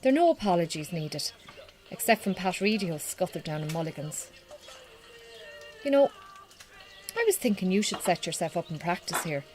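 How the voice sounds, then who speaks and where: A woman playing a warm-hearted, brisk Irish farmer's wife in a radio drama, plain-spoken, with an Irish accent. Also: fairly low pitch, sing-song. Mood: appreciative. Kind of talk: naturalistic radio drama acting.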